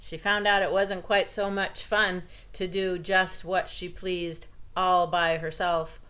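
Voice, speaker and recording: A woman's voice: fairly low pitch, little pitch movement, slow pace, sharp tone. A woman reading a children's story aloud and chatting simply and expressively to young listeners.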